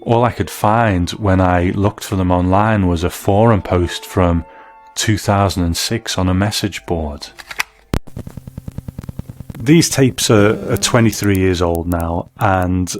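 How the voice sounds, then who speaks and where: A man's voice: low-pitched, takes it slowly. A man hosting and narrating a scripted documentary, putting questions to a guest. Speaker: host and interviewer.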